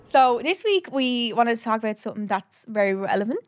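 A woman's voice: on the high side, lively intonation, a little fast, a little hiss or hum. A young Irish woman co-hosting a casual podcast chat, giggly and prone to trailing off mid-sentence. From Ireland. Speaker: podcast co-host.

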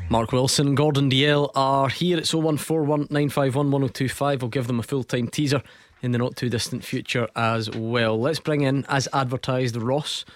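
A man's voice: mid-range voice, dry recording. A Scottish man hosting a football phone-in radio show, teasing his co-hosts and laughing often. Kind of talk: casual studio banter.

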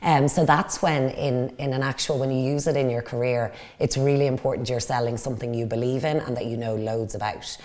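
A woman with a Dublin accent and a strikingly deep voice. She speaks as an executive being interviewed, giving conversational, unscripted answers. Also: fairly even intonation, fairly quick, few pauses, a little mellow. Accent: Dublin Irish. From Dublin, Ireland. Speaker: interview guest, company executive.

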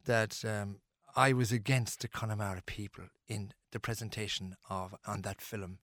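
A man's voice: lowish voice, steady tone, moderate pace, a bit bright, dry recording, studio quality. A middle-aged Irish man, a musician being interviewed, talking earnestly and emphatically with many restarts and fillers. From Ireland.

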